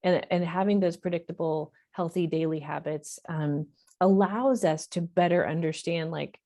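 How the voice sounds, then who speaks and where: A woman's voice: lowish voice, moderate pace, dark tone. A woman counselor talking earnestly in a podcast interview, repeating her main points for emphasis. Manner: talks in long flowing runs with fillers. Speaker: professional counselor.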